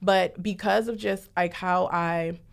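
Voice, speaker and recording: A woman's voice: lowish voice, steady tone, slow, creaky. Young woman talking openly, full of fillers. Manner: casual, many "like" and "you know" fillers. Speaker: guest, author and brand consultant. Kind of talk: reflective conversational interview.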